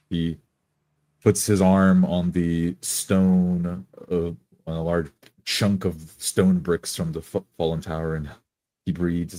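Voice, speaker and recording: Deep voiced guy narrating his rpg character, flat and halting, dry close sound. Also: no room sound. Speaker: tabletop roleplay player.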